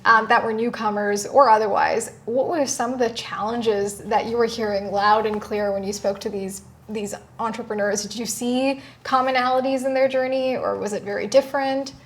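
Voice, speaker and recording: A woman's voice: on the high side, steady tone, normal speed, hardly any pauses. A young woman hosting an interview, asking long rambling questions in a casual chat. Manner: chatty, asks long rambling questions, frequent agreeing "yeah". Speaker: interview host.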